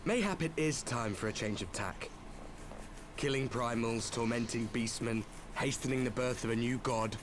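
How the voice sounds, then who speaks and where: A man playing a coolly menacing video game villain, taunting and theatrical in a measured way. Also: medium pitch, noisy background, close to an everyday voice. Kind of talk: video game cutscene acting.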